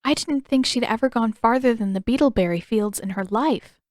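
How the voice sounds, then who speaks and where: Woman voicing an earnest, higher-pitched teenage girl. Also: moderate pace, dry recording, clean studio sound, lightly acted. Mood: curious. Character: young teenage girl, earnest, curious, a little unsettled. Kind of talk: audiobook character dialogue.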